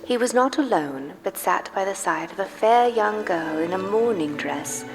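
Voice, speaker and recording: Gravelly-edged woman narrator in reverberant hall. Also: medium pitch, normal speed. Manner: expressive, literary delivery. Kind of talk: scripted dramatic storytelling for live radio play. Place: church hall, live performance. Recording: live in reverberant church hall with music.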